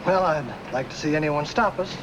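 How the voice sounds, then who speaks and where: A man's voice: fairly high pitch, moderate pace, close to an everyday voice. An American man playing a grumbling, put-upon detective's sidekick as comic relief in a 1950s film, on a noisy soundtrack. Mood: whiny. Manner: whiny, complaining, comic.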